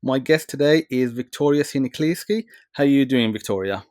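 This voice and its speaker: A man's voice: mid-range voice, a little fast, a little mellow, dry recording. Rambling male podcast host, dry sound. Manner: rambling, heavy "you know" fillers. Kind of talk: podcast interview questions.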